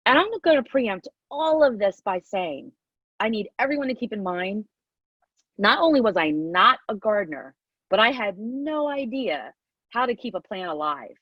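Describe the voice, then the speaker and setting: A woman's voice: mid-range voice, no room sound, dull audio. A woman in her fifties from New Jersey with a Southern New Jersey accent, giving a chatty talk over a video call and laughing often. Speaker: guest speaker. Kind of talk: casual talk, online presentation.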